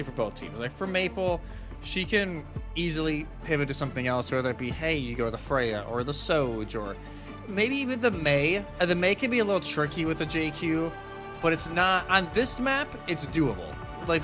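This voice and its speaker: A man giving conversational, explanatory analysis as a live esports shoutcaster. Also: on the high side. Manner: casual, explanatory. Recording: music behind the voice. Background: music.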